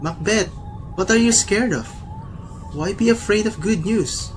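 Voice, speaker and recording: A man's voice: high voice, a little slow, a little hiss or hum. A man playing a loyal, questioning soldier companion in an amateur radio drama, with an earnest manner.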